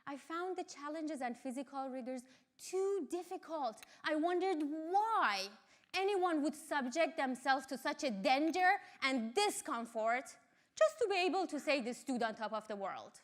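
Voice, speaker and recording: A woman from Iran, a Farsi speaker, giving a motivational talk in a measured, earnest way. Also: high voice, lively intonation.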